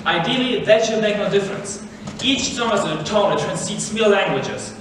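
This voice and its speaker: A teenage male student playing an earnest, candid scholarly man in a stage drama, speaking in a deliberate, instructive way. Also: high voice, lively intonation. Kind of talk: high school stage drama. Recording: echoey theater, distant mic, noisy.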